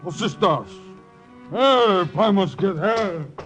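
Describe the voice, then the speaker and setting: An excitable jail guard in vintage radio drama. The voice is high and swooping, drawn out and flustered, over faint music. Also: male voice, slow pace, heavily acted. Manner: excitable, panicky. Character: flustered, frightened German prison guard. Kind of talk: 1940s-50s American radio drama acting.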